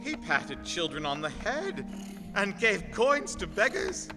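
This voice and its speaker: A man's voice: high-pitched, big pitch swings, slow, long reverb tail, music in the background. A man narrator delivering dramatic scripted storybook narration for a radio play.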